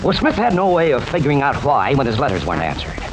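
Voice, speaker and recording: A man's voice: medium pitch, wildly swooping pitch, fast talker. A man playing an insistent juror, telling a persuasive tale in emphatic, slangy 1940s radio style. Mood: persuasive, intent. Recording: noisy 1940s radio recording.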